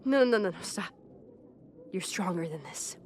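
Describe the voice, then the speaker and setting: A young woman acting a proud, bossy popular high school girl who gets flustered, in a roleplay audio addressed to the listener. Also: expressive, moderate pace, a little mellow, a little hiss or hum, natural voice. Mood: hushed, shaken. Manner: haughty, bossy, flustered hesitations.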